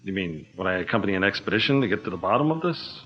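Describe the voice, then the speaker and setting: A polite, nervous engineer. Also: male voice, sits low, lively intonation, very fast. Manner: polite, eager, slightly nervous. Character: genteel, nervous male engineer and amateur archaeologist. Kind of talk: 1940s radio acting. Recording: dull lo-fi old-radio sound.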